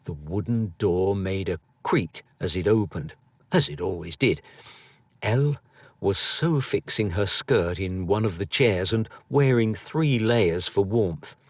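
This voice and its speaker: A man reads a scripted story with theatrical flair. His fairly low voice takes its time and rises and falls with great variety. The sound is dry. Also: lively intonation, measured pace, dry recording. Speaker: audiobook narrator.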